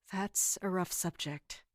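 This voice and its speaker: A woman playing a wounded, caring but guarded royal guard captain in an audio drama, speaking earnestly and haltingly. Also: lowish voice, a bit bright, dry recording, studio quality. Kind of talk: dramatic audio fiction acting.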